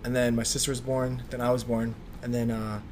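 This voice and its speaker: Young man, interview guest, relaxed, filler words, faint background noise. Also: mid-range voice, brisk. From Slovakia. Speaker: guest, clothing designer. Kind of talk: casual interview chat.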